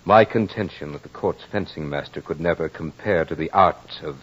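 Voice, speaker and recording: A muffled old radio recording with music carries a man playing a proud soldier and duelist. His voice is low, his delivery florid and theatrical, in the grand manner of a mid-century horror broadcast. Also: lightly acted. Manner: theatrical, formal, florid diction. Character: proud battle-hardened soldier duelist, remorseful as he narrates. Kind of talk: 1940s-50s radio horror drama acting.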